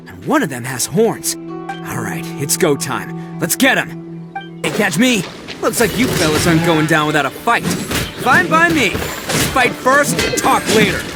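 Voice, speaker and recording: A brash young male oni gang leader from a video game, pitched fairly high and swinging widely. He talks in cocky, colloquial bluster over clear background music. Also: very animated, measured pace.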